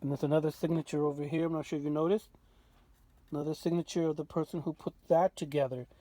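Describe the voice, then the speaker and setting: A man presenting a casual hands-on walkthrough as a radio restorer, informal and halting as he thinks aloud. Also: on the high side, fairly even intonation, mellow, no echo.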